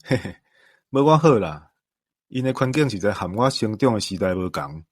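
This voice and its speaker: A low-voiced man with a Taiwanese accent talks things over in an earnest, emphatic way. The recording is close and dry. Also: sing-song, normal speed, husky, no room sound. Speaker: commentator. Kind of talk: conversational discussion.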